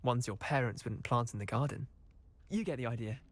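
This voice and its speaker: An actor playing a cheeky, eager teenage wizard schoolboy with a British accent and chatty, cocky manner in a video game. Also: male voice, mid-range voice, brisk, close to an everyday voice. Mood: cheeky, keen. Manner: chatty, boyish, colloquial, cocky. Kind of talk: video game character acting.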